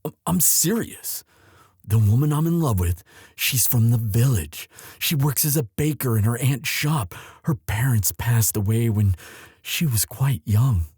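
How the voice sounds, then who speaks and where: A man playing an earnest, lovestruck young prince in an audio drama, speaking formally and haltingly as he implores his father. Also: medium pitch, animated, darkish tone, slightly rough, no echo, clean studio sound. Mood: earnest pleading. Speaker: voice actor in romance audio roleplay. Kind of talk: dramatic one-sided audio roleplay.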